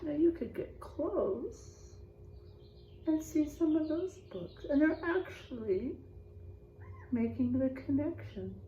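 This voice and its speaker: A woman's voice: high-pitched, expressive, slow pace, dark tone, muffled recording, a little hiss or hum. A woman teacher reading a picture book aloud to children, expressively, stretching out rhymes and adding chatty, exclaiming asides.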